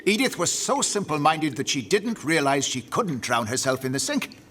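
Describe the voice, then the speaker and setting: A man's voice: a little high, very animated, moderate pace, fairly bright tone, slight background noise. A man playing a stiff, officious Edwardian butler with an English accent, formal and pompous, in an audio drama.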